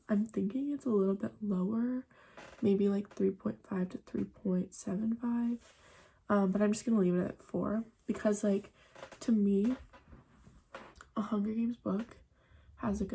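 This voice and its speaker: A woman's voice: mid-range voice, vocal fry, warm tone, a little hiss or hum. A young woman chatting casually at home in a book vlog, full of "like" and "um" fillers. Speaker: book vlogger. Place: at home in front of a bookshelf.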